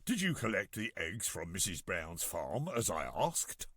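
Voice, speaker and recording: A stern woodman father from a children's storybook, acted by a man, formal and reproving. His voice sits low and a little husky, with a dry recorded sound. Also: big pitch swings, a little slow, fairly bright tone, no echo, exaggerated voice. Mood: expectant. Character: stern, disappointed woodman father in a fairy tale.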